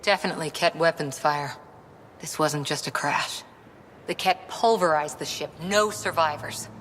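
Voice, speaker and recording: A woman's voice: deep voice, moderate pace, a bit bright, long reverb tail, a little hiss or hum. A woman playing a tough, earnest young military lieutenant in video game cutscene dialogue. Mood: shaken, angry.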